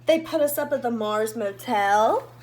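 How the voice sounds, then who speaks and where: A woman's voice: on the high side, lively intonation, unhurried. A woman reading a picture book aloud for children as a storyteller, expressively, talking directly to her young listeners. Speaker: read-aloud host, storyteller.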